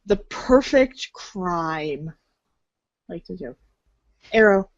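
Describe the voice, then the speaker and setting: A woman's voice: fairly low pitch, lively intonation, extremely slow, no echo, dull audio. A woman reading scripted second-person game narration in the manner of a read-along storybook.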